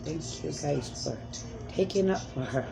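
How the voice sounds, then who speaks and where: A woman's voice: low-pitched, expressive, a little slow, creaky, music in the background. A woman speaking as a self-described spirit medium in a rambling, emphatic monologue, pleading and repeating commands.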